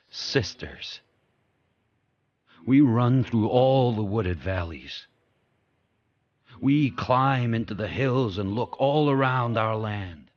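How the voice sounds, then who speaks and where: A man playing a fierce, brooding sled dog turned pack leader, telling his tale in terse, deliberate phrases in an audio drama. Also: no echo, dull audio, full character voice. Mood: exultant, proud. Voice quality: very deep, husky. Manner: terse, deliberate first-person narration in short phrases.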